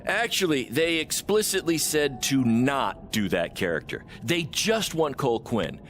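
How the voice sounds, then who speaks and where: A man's voice: mid-range voice, sing-song, measured pace, a bit bright, a little hiss or hum. An actor playing a self-assured, flippant wrestling promoter with a glib salesman's patter in a video game cutscene. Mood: upbeat, pitching. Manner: glib, upbeat salesman-like delivery. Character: fast-talking, self-assured small-time male wrestling promoter, cheerfully flippant.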